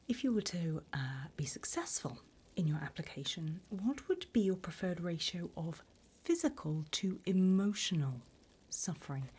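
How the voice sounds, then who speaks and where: A woman playing a courteous, sinister demon interviewer in a slow, breathy whisper for an ASMR roleplay. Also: sits low, a little hiss or hum. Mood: delighted, sinister. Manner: slow, deliberate, courteous, softly menacing. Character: infernal demon interviewer, politely sinister, delighted by wickedness.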